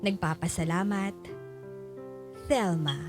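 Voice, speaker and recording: An actress playing a grateful wife who narrates her life story in a Filipino radio drama, sincere and measured. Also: lowish voice, music in the background. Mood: reflective, grateful.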